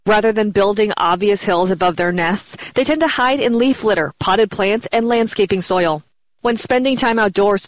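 A woman narrating a short scripted health segment in an even, informative delivery. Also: mid-range voice, no echo, low-quality recording.

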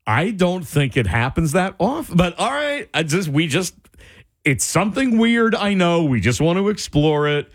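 A male radio host in casual on-air chat, animated and incredulous, his voice starting to go. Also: sits high, no echo, clean studio sound. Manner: animated, incredulous, quick asides. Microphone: studio microphone.

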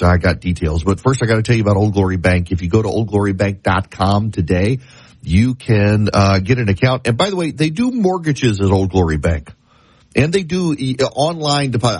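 Husky-voiced talk radio host with a cold. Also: male voice, fairly low pitch, no room sound. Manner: rambling, self-interrupting with restarts. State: stuffy head cold. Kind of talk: casual talk radio monologue.

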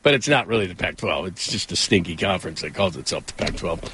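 A man's voice: sits low, lively intonation, fast talker, a bit bright, a little hiss or hum. A man hosting a casual sports talk radio show, rambling conversationally through stories with self-deprecating asides. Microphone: close studio microphone.

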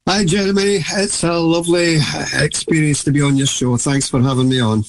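A man's voice: a little high, fairly even intonation, fairly quick. A man from Scotland with a Scottish accent, a guest researcher and author speaking in an enthusiastic, chatty way in an interview.

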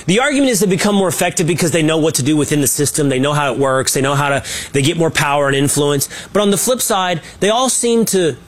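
A man's voice: fairly high pitch, fast talker, hardly any pauses. A man hosting a political talk show, giving opinionated, emphatic commentary with frequent restarts.

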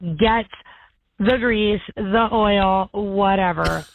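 A woman with a low raspy voice leaving a brash, profane, rambling voicemail as a caller. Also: very slow, dry recording. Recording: tinny phone voicemail.

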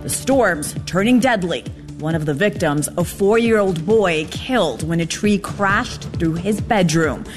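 Woman, news anchor, crisp formal read, music behind. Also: on the low side, expressive, a little slow.

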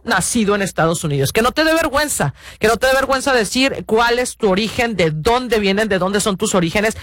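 High-voiced earnest male radio host. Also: fairly bright tone, dry recording. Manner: earnest, rambling, many restarts and fillers. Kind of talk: casual radio talk to parents.

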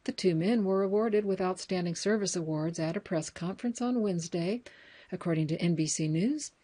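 A woman with a slightly low voice reads news stories and book passages aloud. Her delivery is steady and deliberate, and she corrects her own small slips as she goes. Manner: measured reading with small self-corrections. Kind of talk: reading excerpts and news articles aloud.

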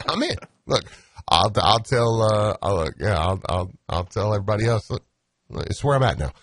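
A man hosting a casual sports talk show, excitable and prone to stammering restarts. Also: lowish voice, normal speed, no echo, clean studio sound.

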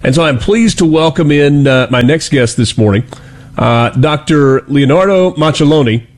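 A man's voice: on the high side, lively intonation, moderate pace. A man interviewing a guest as host, speaking in a courteous, easygoing and conversational broadcast style.

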